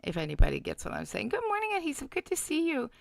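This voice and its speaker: A woman's voice: high-pitched, huge pitch swings, fast, vocal fry, no room sound. A woman hosting a casual livestream, chatting reactively to her viewers as she comments along. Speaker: livestream host reacting to a clip. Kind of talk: casual live chat commentary.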